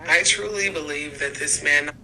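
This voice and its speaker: A man's voice: fairly high pitch, normal speed, music in the background, low-quality recording. A man hosting a video of paranormal clips, giving casual commentary to viewers.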